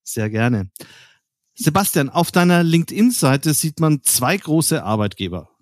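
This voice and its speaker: A man's voice: a little high, lively intonation, unhurried. A man hosting an interview podcast, presenting and asking questions. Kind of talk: scripted introduction, then conversational interview questions.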